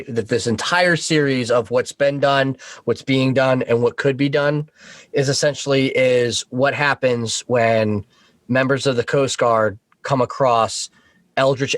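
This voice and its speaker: A man speaking as an interview guest, informal and halting as he thinks aloud about his creative work. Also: mid-range voice, a bit bright, dry recording. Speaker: guest, audio horror series creator. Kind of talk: casual interview talk.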